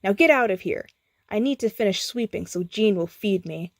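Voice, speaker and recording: A woman's voice: mid-range voice, lively intonation, normal speed, no room sound. A downtrodden storybook sweeper, acted by a woman. He is a defeated, resentful man who talks curtly, with a dry, close sound. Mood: dejected.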